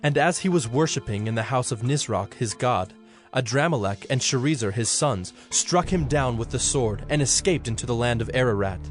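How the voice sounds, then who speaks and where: Male narrator for audio scripture drama: medium pitch, formal scripted delivery, prominent background music. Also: lively intonation, moderate pace.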